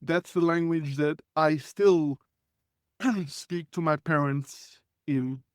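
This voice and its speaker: A man's voice: on the high side, slow, no room sound. Man, forties, from Israel, Hebrew speaker, earnest guest storytelling, dry close sound. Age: 40s. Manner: earnest, reflective, clears throat often. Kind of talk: conversational life storytelling.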